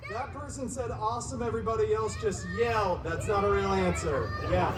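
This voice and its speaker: An actor playing an irreverent, wisecracking masked antihero who tells a children's story live with theatrical delivery and sarcastic asides. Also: male voice, sits high, brisk, heavy background noise, natural voice. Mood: cheeky, sarcastic. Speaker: costumed theme park character storyteller. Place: outdoor theme park courtyard. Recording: distant outdoor sound, echo, loud music, crowd.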